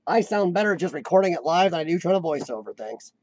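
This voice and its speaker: A man's voice: on the high side, animated, fast, no room sound, low-quality recording. A man hosting a casual live-stream chat, rambling and self-deprecating, often restarting his sentences. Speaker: host of a live chat show.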